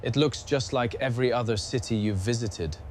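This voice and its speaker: A male storyteller reads second-person narration at a middle pitch. His delivery is deadpan and scripted, with faint ambient noise behind it. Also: fairly quick.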